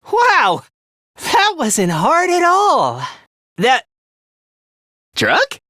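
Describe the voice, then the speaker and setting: A self-loathing young student fighter from a video game, with a very high, timid voice. He speaks slowly and hesitantly, stumbling over first words and belittling himself, and his pitch swings widely. The sound is close and dry. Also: pushed and bright, dry recording, heavily acted. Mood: excited, straining. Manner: hesitant, stammering, self-deprecating. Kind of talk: English video game voice acting.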